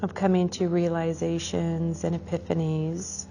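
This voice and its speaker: A woman leading a guided meditation for a class in a soothing, unhurried way, drawing out phrases and letting the endings trail off softly. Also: fairly low pitch, little pitch movement, vocal fry.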